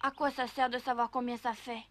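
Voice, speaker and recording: A woman's voice: fairly high pitch, little pitch movement, a little fast, a bit bright, close to an everyday voice. A woman voicing a mischievous, easily distracted little wooden puppet boy in a French cartoon dub, cheeky and hesitant. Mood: concentrating, hesitant. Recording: music behind the voice.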